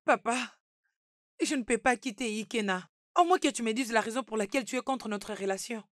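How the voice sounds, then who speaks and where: Woman acting a high-voiced, headstrong young daughter. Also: fairly quick, dry recording, studio quality, natural voice. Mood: pleading. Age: young adult. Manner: protesting, plaintive. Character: headstrong young daughter pleading for her freedom. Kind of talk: dubbed melodrama acting.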